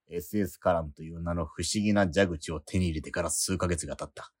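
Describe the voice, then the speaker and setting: An actor playing an obsessive, starving painter who narrates his own work in a radio drama with a slow, heavy inner monologue. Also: deep voice, bright, forceful tone, heavily acted. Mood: ecstatic. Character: obsessive, starving male painter, feverish and brooding. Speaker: struggling painter.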